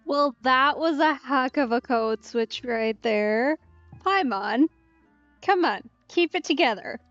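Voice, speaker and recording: Slow-talking woman streamer, highish voice. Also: expressive, fairly bright tone, no room sound. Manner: halting, musing aloud. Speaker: let's play streamer. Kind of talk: casual game commentary. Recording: muffled, dry, faint game music behind.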